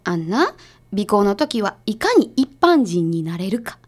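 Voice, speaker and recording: A woman's voice: medium pitch, big pitch swings, a little slow. A woman playing a blunt, short-tempered female detective's assistant in a radio drama, with a Kansai accent. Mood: scolding. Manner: blunt, bossy.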